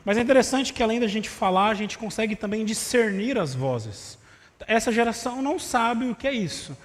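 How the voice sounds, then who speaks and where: A man preaching a sermon in a conversational way, with rhetorical asides to the congregation, in a voice that tires easily. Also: high voice. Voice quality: vocal cord problem, voice tires easily. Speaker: pastor. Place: church sanctuary. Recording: live church stream with long reverb.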